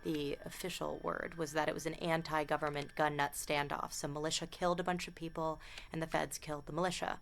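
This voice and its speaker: A woman's voice: sits low, flat delivery, brisk, gravelly, hardly any pauses. An actress playing an anxious, blunt young American woman in a naturalistic game drama. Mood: intent, explaining. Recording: faint music behind.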